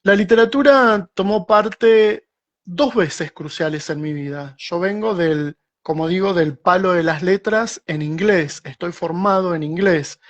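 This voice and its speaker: A man's voice: sits high, lo-fi sound. A middle-aged Argentine man with an Argentine Spanish accent, a guest writer answering thoughtfully in a casual interview over a video call, often restarting his phrases. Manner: reflective, with frequent restarts and slow stretches.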